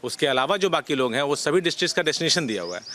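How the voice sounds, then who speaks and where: A man with an Indian accent speaking as a senior police officer in a press interview, official and explanatory with halting hesitations. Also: on the high side, big pitch swings, fairly bright tone, slight background noise. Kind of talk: press interview answers, mixing Hindi and English.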